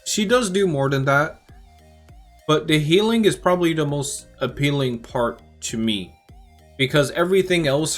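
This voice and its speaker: A man's voice: medium pitch, fairly bright tone. A young man giving casual reaction commentary as a gaming creator, thinking aloud in a conversational way. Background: music.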